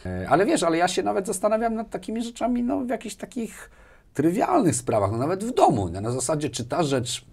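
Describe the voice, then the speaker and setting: A man in his forties talks as an interview guest in a fairly high voice. He explains things casually, repeats words as he goes and often rounds off a thought with a short questioning tag. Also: brisk, gravelly, breathy edge. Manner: animated explaining, repeats words, tags sentences with a questioning "right?". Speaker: interview guest, sales executive. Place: small furnished indoor studio. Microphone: lapel mic.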